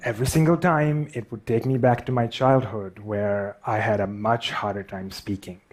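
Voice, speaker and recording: A man's voice: fairly low pitch, steady tone, normal speed. A man with a Pakistani accent telling a personal story, a speaker who stutters and pokes fun at himself. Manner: stutters, self-deprecating. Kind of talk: storytelling talk.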